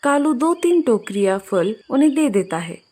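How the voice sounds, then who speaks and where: Higher-pitched woman narrating a children's story. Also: sing-song, normal speed, a little mellow, breathy edge.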